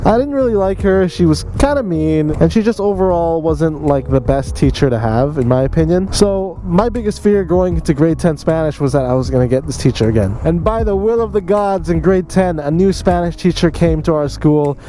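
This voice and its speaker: A man's voice: fairly high pitch, lively intonation, moderate pace, hardly any pauses, mellow, low-quality recording. A man telling a casual story in an informal, conversational vlog style, full of slangy emphasis. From Canada. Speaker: motorcycle vlogger telling a story. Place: riding a motorcycle outdoors. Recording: vehicle and outdoor noise behind voice. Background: faint vehicle and road noise.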